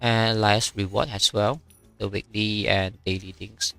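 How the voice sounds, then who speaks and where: A man whose first language is Chinese talks through his game in a halting, friendly stream chat, leaning on "um" and "you know" often. His voice sits a little low but sounds bright and forceful, recorded close and dry, with faint game music underneath. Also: fairly even intonation, vocal fry, dry recording. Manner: halting, many fillers, reads chat aloud. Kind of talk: casual live-stream commentary.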